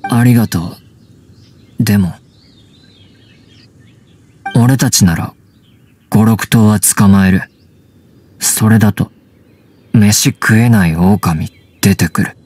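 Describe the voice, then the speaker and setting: A man voicing a guarded wild teenage boy raised by wolves in a video game, speaking in terse, halting, simple phrases. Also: fairly low pitch, a little mellow. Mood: calm, plain. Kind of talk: Japanese anime video game voice acting.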